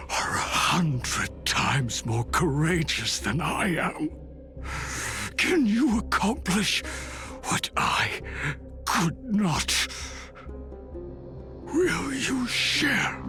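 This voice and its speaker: A woman playing a grave, regretful master burdened by secrets in a video game, solemn and halting, with dramatic emphasis. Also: fairly low pitch, exaggerated voice. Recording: music clearly behind the voice. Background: game music.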